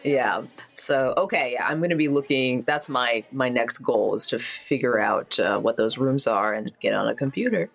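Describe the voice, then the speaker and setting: A man's voice: mid-range voice, moderate pace, vocal fry, few pauses, a bit bright. A man playing a tabletop game, chatting casually and thinking aloud in a tentative way.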